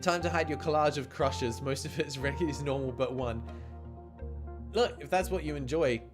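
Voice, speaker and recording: A man streaming a game, chatty and expressive as he comments and reads the text aloud. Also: a little high, moderate pace. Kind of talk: livestream chat and read-aloud game commentary. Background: game music.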